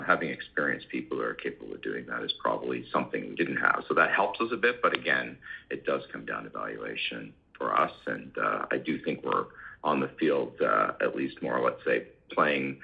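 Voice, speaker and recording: A man answering questions as an executive on an earnings call, halting and repeating words as he talks. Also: a bass voice, vocal fry. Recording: muffled conference call audio.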